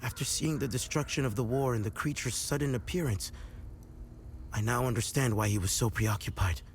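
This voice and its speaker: A man's voice: medium pitch, brisk, a little hiss or hum, natural voice. A man playing a shaken but helpful blacksmith's apprentice in game dialogue, talking in an earnest, conversational way. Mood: subdued, thoughtful.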